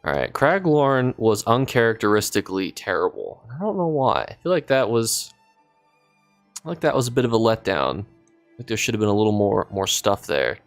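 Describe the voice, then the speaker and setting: A man's voice: fairly low pitch, big pitch swings, normal speed, faint music behind. A man losing his voice from a cold, chatting casually on a live stream while he plays and reads out chat, rambling as he thinks aloud.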